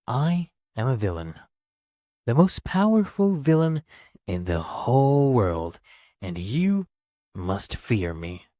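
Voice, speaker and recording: A boastful villain acted out by a man declaims slowly and menacingly. The pitch rises and falls dramatically, and the sound is very dry. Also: big pitch swings, dark tone, dry recording. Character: boastful, menacing male villain demanding fear.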